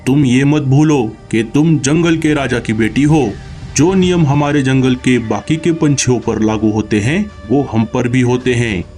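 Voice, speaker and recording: A man's voice: mid-range voice, a little slow, darkish tone, soft background music, lightly acted. A man voicing an aging, principled bird king in a cartoon story, stern and responsible in manner. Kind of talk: Hindi cartoon story acting.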